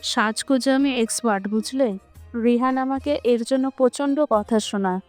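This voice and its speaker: A woman's voice: a little high, moderate pace, a little mellow, faint music behind, pitched above the performer's own voice, lightly acted. A woman voicing a playful, chatty, bossy young woman who acts like a big sister in a romantic audio story. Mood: chatty, confiding.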